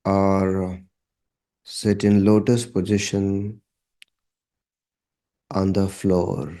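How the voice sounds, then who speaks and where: Male meditation guide, mellow tone, fairly low pitch, calm and measured, short phrases with long pauses.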